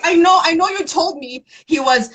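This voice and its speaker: A woman's voice: very high voice, moderate pace, fairly bright tone, voice pushed up. A woman acting out a heartbroken young woman. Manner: dramatic, wounded. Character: heartbroken young woman venting to her best friend.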